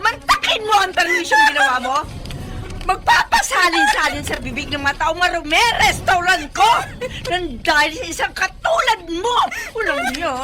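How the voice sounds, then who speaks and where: Shrill, furious Filipina boss. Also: female voice, big pitch swings, moderate pace, heavy background noise. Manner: scolding, shrill, insulting outbursts. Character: furious, abusive female restaurant owner berating her waitress. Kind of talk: melodramatic Filipino radio drama acting.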